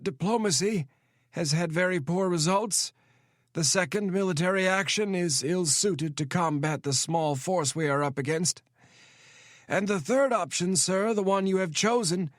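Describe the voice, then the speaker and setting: A frail old spy chief in his eighties, voiced by a man, pitched well above the narrator's usual deep tone. He speaks in a formal, measured and deferential way, with a thin, oddly different timbre. The sound is dry and close. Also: dry recording. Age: 80s. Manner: formal and measured, keeps saying sir.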